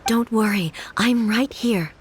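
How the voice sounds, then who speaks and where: A woman playing a calm, regal and solemn ruler in a video game, with composed, formal delivery. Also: a little high, slow, slight background noise. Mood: reassuring. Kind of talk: dramatic video game voice acting.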